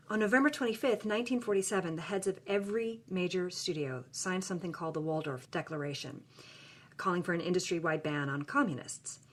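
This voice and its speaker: A woman telling a story in a dramatic, expressive style with deliberate pauses. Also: lowish voice. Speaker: storyteller and podcast co-host. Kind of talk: dramatic storytelling, reading journal entries aloud.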